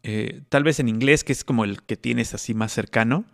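Radio host guy, gravelly voice, laid back and agreeable. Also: mid-range voice, normal speed. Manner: easygoing, agreeable, hesitant fillers. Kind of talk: casual radio chat.

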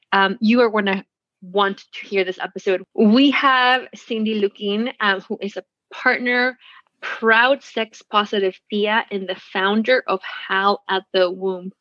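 A woman in her thirties co-hosts a podcast in a relaxed, rambling way, full of "like" and quick laughs. The recording is dry and a bit dull, with little room sound. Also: mid-range voice, no room sound. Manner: chatty, filler-heavy, laughs easily. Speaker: podcast co-host. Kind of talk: casual chat.

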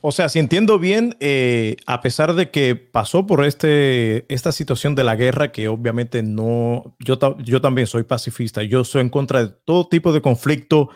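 A man's voice: medium pitch, normal speed, hardly any pauses, darkish tone, no room sound, studio quality. A man hosting a podcast, summarizing his guest's answers and asking thoughtful questions. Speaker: podcast host. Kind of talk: interviewing.

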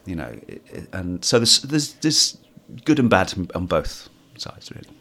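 A man's voice: low voice, very animated, normal speed, a bit bright. A man in a casual interview, talking about his stage career in a rambling way with many restarts. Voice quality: hoarse, husky when tired. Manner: rambling, restarts often, self-deprecating. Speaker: musical theatre actor, interview guest.

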